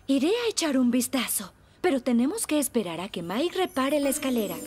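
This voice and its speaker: A woman's voice: sits high, lively intonation, fairly quick, a little mellow. A woman voicing a chatty, earnest café owner in a cartoon dub.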